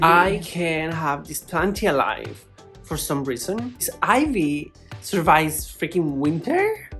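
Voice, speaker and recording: A woman's voice: low voice, fairly bright tone. A woman hosting a plant vlog, chatting casually to camera in a playfully dramatic, exclamatory way. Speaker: plant vlogger, host.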